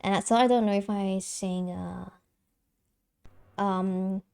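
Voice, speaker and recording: A woman's voice: medium pitch, fairly even intonation, slow pace, vocal fry, a little mellow, clean tone. A young woman streamer with a light soprano voice, chatting casually and giggling, with lots of fillers and restarts. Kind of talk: casual live-stream chat.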